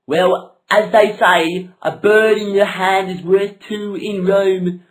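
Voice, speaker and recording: A woman's voice: mid-range voice, fairly even intonation, takes it slowly, voice pushed up, close to an everyday voice, poor audio. A woman voicing a dim, earnest, easily confused male bank robber in a comic puppet show. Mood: dim, sincere.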